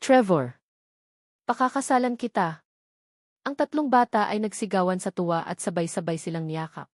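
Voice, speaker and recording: A woman narrating a scripted romantic drama in a measured, sentimental storytelling manner. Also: mid-range voice, a little mellow, dry recording, studio quality.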